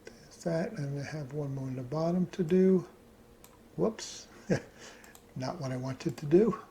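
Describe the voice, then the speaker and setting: A man with a gravelly, creaky voice talks through a casual screen-recorded tutorial. He thinks aloud as he works. There is light background noise with some keyboard clicking behind him. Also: on the high side, slow, mellow. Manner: thinks aloud while working at computer. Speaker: hobbyist presenter.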